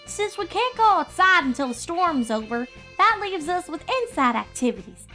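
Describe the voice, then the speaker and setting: Young filly, high and lively, practical and earnest, cartoon storybook reading. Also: female voice, moderate pace, faint music behind, exaggerated voice. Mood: thoughtful. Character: little filly, earnest, practical country child.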